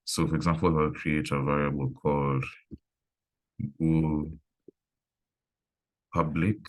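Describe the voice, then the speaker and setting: A man with a remarkably deep, low voice leads an online programming class. He talks at an easy pace, stopping to think and filling gaps with "um" as he works through examples. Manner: relaxed, thinking aloud with pauses and fillers. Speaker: coding instructor. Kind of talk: live online coding lesson.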